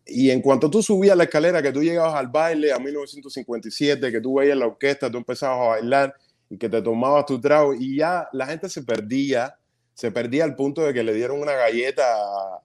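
A Cuban stage actor in his thirties, a guest in an interview, telling stories in an earnest, animated way with quick bursts of speech. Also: medium pitch. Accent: Cuban Spanish. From Cuba. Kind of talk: casual interview storytelling.

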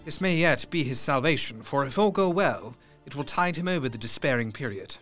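A man's voice: medium pitch, expressive, a little fast, fairly bright tone, natural voice. An actor narrating as a troubled Victorian doctor writing his diary, grave and sympathetic, over faint music. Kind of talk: audio drama narration.

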